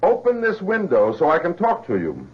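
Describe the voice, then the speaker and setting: An actor playing an agitated, obsessive scientist in a 1970s radio drama, speaking insistently and emphatically. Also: male voice, fairly high pitch, wildly swooping pitch, normal speed, background noise. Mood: urgent, commanding. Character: agitated, obsessive male scientist convinced machines conspire against him. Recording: dull vintage radio recording.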